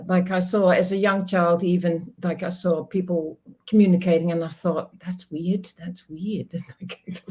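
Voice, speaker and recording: A woman's voice: medium pitch, a little mellow. A middle-aged woman from the Netherlands, a native Dutch speaker, talking as a guest over a video call, reflective and laughing often, with many restarts. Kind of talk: casual interview chat.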